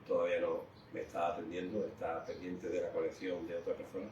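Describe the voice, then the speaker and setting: A man's voice: sits low, completely flat delivery, fast, darkish tone. A man hosting casual live radio chat in a hesitant way. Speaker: radio host. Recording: muffled, echoey, distant, faintly noisy.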